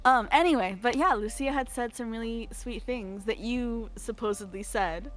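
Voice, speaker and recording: A woman's voice: on the high side, normal speed. A young woman in a casual livestream chat, telling stories in a jokey, giggly manner. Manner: animated, giggly, jokey storytelling with acted quotes. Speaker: voice actor, livestream guest.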